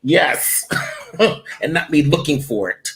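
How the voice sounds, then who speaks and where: A man's voice: on the high side, expressive, slow pace, creaky, crowd noise. A man, a voiceover audition director, answering interview questions in a conversational way with frequent ums and restarts.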